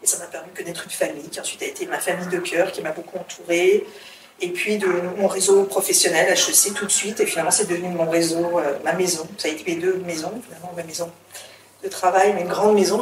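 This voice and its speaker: A woman's voice: on the low side, steady tone, fast talker. A middle-aged woman from France, chatting as an interview guest and telling her story with frequent hesitations and restarts. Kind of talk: casual interview talk. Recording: roomy, distant mic, faint background hiss.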